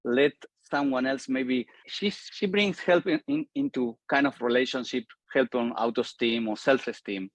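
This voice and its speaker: A man on a group video call talking haltingly, with many restarts and non-native phrasing. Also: mid-range voice, animated, no room sound. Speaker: call participant. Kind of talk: casual conversation.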